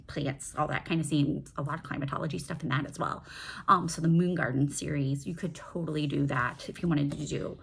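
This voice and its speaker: A woman with a creaky, fry-edged voice chats informally as a book vlogger. She rambles, breaks off mid-thought and asks herself questions. There is some noise in the recording behind her. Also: on the low side. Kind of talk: casual book-talk vlog, unscripted chat.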